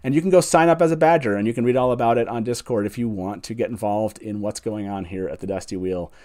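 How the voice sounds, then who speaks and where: A man's voice: on the low side, quick pace, a little mellow. A man hosting a casual livestream, speaking in an enthusiastic, chatty way. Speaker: show host.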